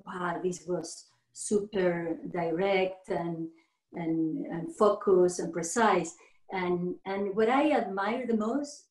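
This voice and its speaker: A middle-aged woman from Argentina with an Argentine Spanish accent. She is a yoga teacher being interviewed, speaking halting second-language English with many restarts and frequent laughter. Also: medium pitch, a little mellow. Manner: laughs often. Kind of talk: casual interview conversation. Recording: roomy echo.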